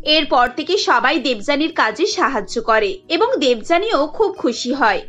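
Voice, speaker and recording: Female narrator for cartoon storybook tales, high-pitched, light music bed. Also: fairly bright tone, muffled recording.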